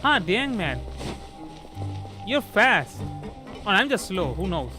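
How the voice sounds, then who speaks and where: Gaming livestreamer, casual chat, rambling, medium pitch, music in background. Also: sing-song, slow. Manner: rambling, self-talking, addresses viewers. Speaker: livestreamer playing a video game. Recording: game music behind.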